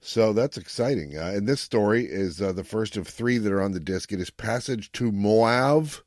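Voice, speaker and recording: Low-voiced man hosting a podcast. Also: animated, moderate pace, darkish tone, dry recording, studio quality. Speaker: podcast host. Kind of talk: casual podcast chat.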